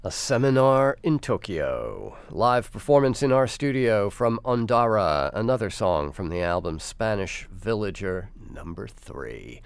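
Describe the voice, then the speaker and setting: A man's voice: fairly low pitch, sing-song, a little slow, vocal fry, hardly any pauses, clear, resonant tone, no room sound. A man hosting a radio interview in a studio, asking curious, conversational questions. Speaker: radio host. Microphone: studio mic.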